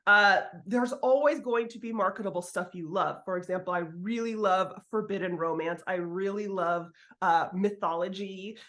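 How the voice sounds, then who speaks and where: A woman's voice: mid-range voice, moderate pace, hardly any pauses, a bit bright. A woman chatting informally as an interview guest, giving writing advice in a candid way with the occasional swear word. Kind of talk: casual interview chat.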